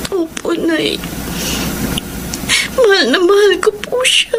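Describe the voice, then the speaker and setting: A woman's voice: very high voice, expressive, background noise, full character voice. An actress playing a devoted young woman in love in a radio drama, speaking with earnest, tender, emphatic feeling. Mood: tearful devotion. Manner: earnest, emotional, drawn-out emphatic delivery. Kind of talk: Filipino radio drama acting.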